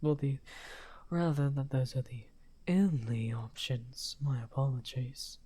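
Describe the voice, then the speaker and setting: A man playing a lonely, misunderstood evil wizard in an ASMR roleplay, speaking softly and courteously in a breathy, whispery, halting voice. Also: medium pitch. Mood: hesitant, polite. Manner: slow, halting, musing.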